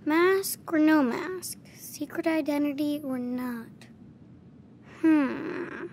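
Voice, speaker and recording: A man's voice: very high voice, takes it slowly, slight background noise. An actor voicing an imaginative ten-year-old boy who plays out adventures with his toys and talks to himself in a game. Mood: musing. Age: child figure. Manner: excited, playful, talks to himself. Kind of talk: video game voice acting.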